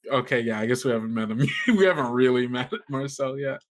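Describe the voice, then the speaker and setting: A man's voice: medium pitch, animated, brisk. A man planning a tabletop game story casually with friends, often restarting his thoughts as a podcast player. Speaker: player in an actual-play podcast.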